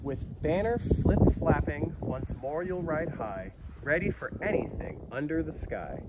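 A man's voice: a little high, expressive, a little slow. A man reading a rhyming children's picture book aloud with theatrical, dramatic emphasis, like a naturalist storyteller. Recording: outdoor recording with background ambience noise.

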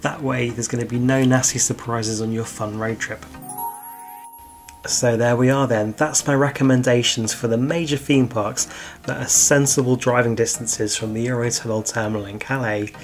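A man's voice: medium pitch, fairly even intonation, moderate pace. Chatty uk guy doing a travel voice-over, upbeat enthusiast, music going underneath. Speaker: travel vlogger. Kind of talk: scripted voice-over narration.

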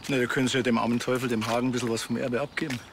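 A man plays a blunt country cop in a TV comedy. He is terse and deadpan at a middle pitch, with clear noise around him. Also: very fast, close to an everyday voice. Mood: probing. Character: dry, blunt, quibbling male country policeman. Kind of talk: TV comedy acting.

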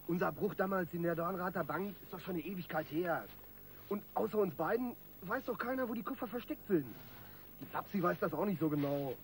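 An actor playing a bossy yet jittery small-time crook in a radio crime drama, talking in colloquial slang. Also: male voice, high voice, gravelly, a little hiss or hum.

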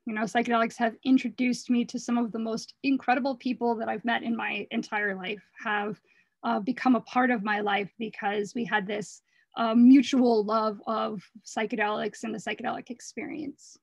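A hoarse woman talking casually about her art over slides, earnest and rambling, with frequent restarts. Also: a little high, fairly even intonation, normal speed, no echo. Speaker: artist presenting her work.

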